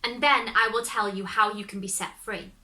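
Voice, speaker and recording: A woman's voice: mid-range voice, fairly even intonation, normal speed, bright, forceful tone, lightly acted. A woman voicing a cheerful young fairy-tale hero in a storybook reading, earnest and sure.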